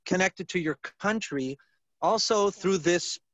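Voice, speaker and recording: A man's voice: high-pitched, measured pace, a bit bright, dry recording. Easygoing man on a dry video call. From born outside Israel. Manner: easygoing, prompts and rephrases for the students. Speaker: liaison interviewing students. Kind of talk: casual conversational questioning.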